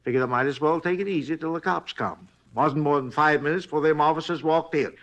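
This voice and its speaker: An actor playing a folksy, talkative hotel night clerk in a 1950s radio drama, rambling along in plain, ungrammatical speech. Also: male voice, fairly high pitch, fast talker, a bit bright, lo-fi sound. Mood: chatty. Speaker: robbery witness.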